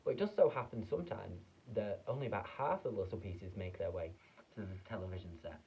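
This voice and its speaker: Man playing eccentric chocolate maker, theatrical, husky, lively, dull lo-fi sound. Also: fairly low pitch, brisk, heavily acted. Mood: excited. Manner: theatrical, exclamatory, fussy.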